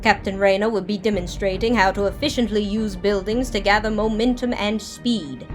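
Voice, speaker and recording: A woman's voice: mid-range voice, steady tone, normal speed, soft background music, lightly acted. Woman playing composed female army captain. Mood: instructive. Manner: instructive, authoritative. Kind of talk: audio drama acting.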